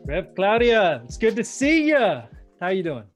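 A man hosts a conversational interview in a fairly high male voice. He asks his questions informally, and there is faint music in the recording. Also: very animated, a little slow. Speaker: podcast interviewer and host.

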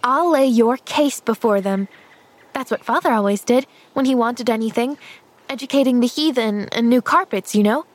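A woman's voice: high voice, expressive, normal speed, voice pushed up. A woman voicing an eager, kind-hearted young girl in an audiobook, chattering in a breathless, earnest, gushing way. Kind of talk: audiobook character voice.

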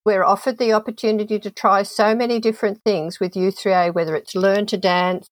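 A woman's voice: mid-range voice, fairly even intonation, fairly quick, no echo. A woman hosting an interview, telling stories in a reflective, conversational way. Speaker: host.

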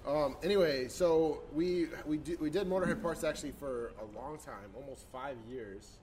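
A man's voice: fairly high pitch, normal speed, a little mellow, a little hiss or hum. A man of about twenty-five telling how his businesses started, in an upbeat, hands-on way. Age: 20s. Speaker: business co-founder guest. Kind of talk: casual podcast chat.

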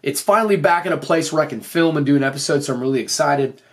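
Higher-pitched man hosting an earnest podcast. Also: fast. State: voice slightly off after losing it. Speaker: podcast host and teacher. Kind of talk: motivational faith podcast monologue.

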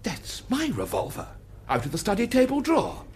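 A man's voice: sits high, huge pitch swings, a little fast, darkish tone, slight background noise. A man playing a blunt, indignant upper-class English duke in a radio drama, with a clipped, emphatic manner. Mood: startled, emphatic.

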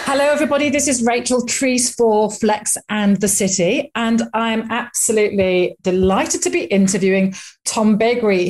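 A woman's voice: medium pitch, a little mellow. A woman hosting an interview show, chatty and enthusiastic as she introduces and questions her guest. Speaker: interview host.

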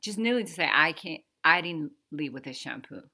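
A woman in her thirties from the Dominican Republic, speaking English with a Dominican Spanish accent as a podcast cohost, hesitant and pausing to find words, often laughing. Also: low-pitched, expressive, pushed and bright. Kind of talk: casual podcast conversation.

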